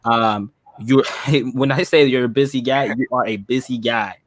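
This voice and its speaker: A man's voice: mid-range voice, a little slow, no room sound. A man hosting an interview show in casual, colloquial chat. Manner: colloquial, says "man" and "bro" often.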